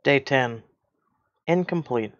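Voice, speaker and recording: A man reading scripted story diary entries as a narrator, in a measured, formal manner. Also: mid-range voice, muffled recording. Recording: loud music behind.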